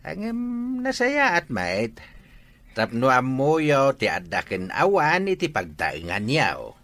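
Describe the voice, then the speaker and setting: A man playing an emphatic older Ilocano father, swooping pitch. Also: wildly swooping pitch, slow pace, fairly bright tone, no room sound, heavily acted. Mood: fatherly advice. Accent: Ilocano Filipino. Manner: admonishing, emphatic. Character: earnest older father advising his grown son. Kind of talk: Ilocano radio comedy drama acting.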